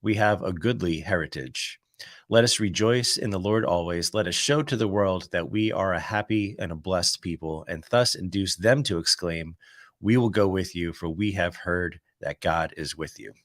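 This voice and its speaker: Low-voiced man with a cold, reading devotions. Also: normal speed, no echo, studio quality. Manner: relaxed and conversational, musing aloud between passages. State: under the weather with a cold. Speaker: host reading a daily devotional. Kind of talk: devotional reading with casual commentary.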